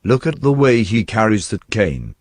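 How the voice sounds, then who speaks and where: A pompous, jittery personnel examiner acted by a man, brusque and easily irritated. His low voice has the officious snap of a radio play character, recorded dry. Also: sing-song, normal speed, no room sound. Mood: indignant. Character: pompous, nervous, testy male store personnel examiner. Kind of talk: radio drama acting.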